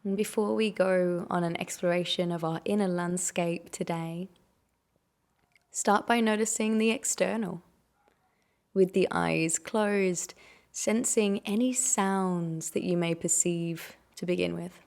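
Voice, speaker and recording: Low-voiced woman guiding meditation, lightly creaky. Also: fairly even intonation. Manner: gentle, unhurried instruction with soft invitations and questions. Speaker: meditation guide. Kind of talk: guided meditation.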